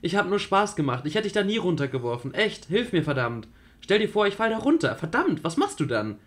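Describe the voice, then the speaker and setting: A man's voice: big pitch swings, fairly quick, exaggerated voice. A man voicing Julie, a reckless, mocking teenage girl, also reading the stage directions of a radio play. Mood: pleading. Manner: taunting, shouting, high-pitched outbursts.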